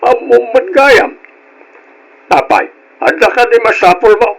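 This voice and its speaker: A man playing a stern old grandfather in a radio drama, speaking in a grave, weighty, measured way. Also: very high-pitched, lively intonation, gravelly, a bit bright, full character voice, poor audio. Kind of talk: Ilocano radio drama acting.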